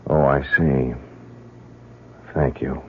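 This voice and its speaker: An actor playing an uneasy, resigned husband in an old-time radio drama, speaking in a hesitant, subdued way. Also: male voice, extremely low pitch, steady tone, extremely slow, heavily acted. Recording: tinny, faintly noisy old radio recording.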